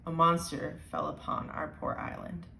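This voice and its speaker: A woman voicing a grave old island handyman telling a storybook tale in a measured way. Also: low voice, little pitch movement, creaky, far from the mic, noisy background. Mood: solemn. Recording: roomy, echoing indoor sound.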